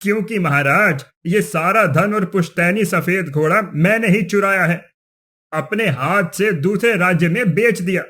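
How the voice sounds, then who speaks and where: A greedy, scheming village headman from a cartoon folk tale, acted by a man. The voice is strikingly high for a man. He is nervous and flustered, tripping over words as he pleads. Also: measured pace, bright, forceful tone, full character voice. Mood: flustered accusing. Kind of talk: Hindi cartoon moral-story dialogue.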